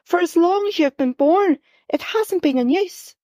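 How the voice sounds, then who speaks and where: Worried, loving mother, read by a woman storyteller, pitched up, dry close sound. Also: animated, normal speed, no echo. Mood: pleading. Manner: pleading, rising to upset shouting.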